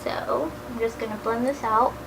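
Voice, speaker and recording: A woman's voice: fairly high pitch, fairly even intonation, normal speed, creaky, background noise. Chatty woman in her thirties with a cold. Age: 30s. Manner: casual and chatty, drifting into soft near-whispers. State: has a cold, a little nasal. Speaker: beauty vlogger. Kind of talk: chatty makeup tutorial.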